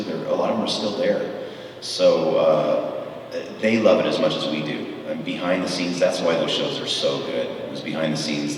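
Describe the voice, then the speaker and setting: A man's voice: a bass voice, a little hiss or hum. A middle-aged man telling enthusiastic, rambling stories as a guest on a convention panel, with lots of fillers. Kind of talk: convention Q&A storytelling. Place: large convention hall. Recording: echoey hall recorded from far off.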